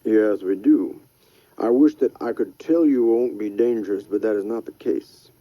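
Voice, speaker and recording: A man voicing a formal, soft-spoken man who speaks gravely and with measured politeness. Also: lowish voice, no room sound, muffled recording, close to an everyday voice.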